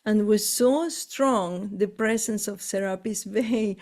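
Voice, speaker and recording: A woman with an Argentine Spanish accent, whose first language is Spanish, speaks slowly in a soft, mellow tone. She talks in a relaxed, halting way, often pausing to search for the right English word. Her delivery stays easygoing and conversational. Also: medium pitch. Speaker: spiritual tour guide. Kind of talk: casual conversation.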